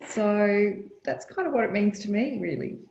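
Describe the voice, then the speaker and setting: A woman's voice: medium pitch, expressive, moderate pace, vocal fry, darkish tone. A woman speaking on a panel, earnest and thoughtful, with many fillers and restarts, heard over a video call. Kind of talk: panel discussion answer. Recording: echoey, dull lo-fi video call.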